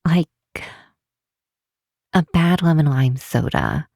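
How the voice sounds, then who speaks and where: A woman acts out a caring best friend in an intimate ASMR roleplay. She talks very slowly and gently, pausing often, with a teasing fondness. The sound is close and dry. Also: deep voice, big pitch swings, gravelly, dry recording, full character voice. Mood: playful, fond. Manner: hesitant, gentle, unhurried with pauses.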